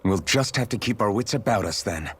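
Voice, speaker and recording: A man voicing an earnest, steady young swordsman hero in a video game. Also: on the low side, brisk, breathy edge, exaggerated voice. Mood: earnest, resolute.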